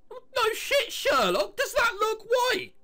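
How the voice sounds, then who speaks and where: A man's voice: very high-pitched, wildly swooping pitch, takes it slowly, bright, forceful tone. A young man hosting a let's play, reading the game text aloud and making sarcastic, sweary remarks about the story.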